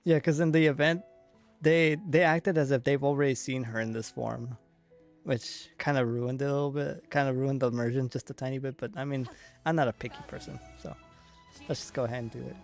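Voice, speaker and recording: A man giving casual live commentary on a video game, rambling and halting as he thinks aloud. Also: mid-range voice, steady tone, soft background music. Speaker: game streamer.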